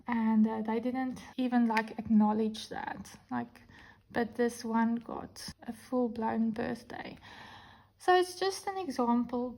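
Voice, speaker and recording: 沙哑低沉的南非口音女声. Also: female voice, on the high side, creaky, darkish tone, rough recording. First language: Afrikaans. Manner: chatty, candid storytelling. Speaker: diamond painting vlogger. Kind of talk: casual chat while crafting. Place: indoors at a craft table. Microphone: camera over the hands, speaker off-frame.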